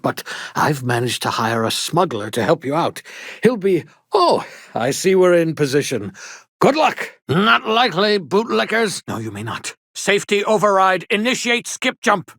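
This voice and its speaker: Eccentric older scientist, swooping and echoey. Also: male voice, medium pitch, a bit bright. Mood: excited, explaining, then defiant, urgent. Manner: muttering to himself, dryly sardonic, swooping emphasis. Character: eccentric older fugitive scientist, wry, condescending, excitable. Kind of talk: theatrical video game voice acting.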